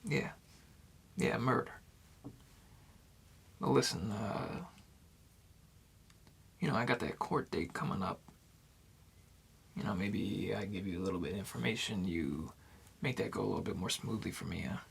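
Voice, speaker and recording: A man playing a chatty, nervous bartender in an ASMR noir roleplay, speaking softly and often in whispers with tough-guy slang. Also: fairly low pitch, normal speed, vocal fry, long pauses, slightly rough, heavily acted.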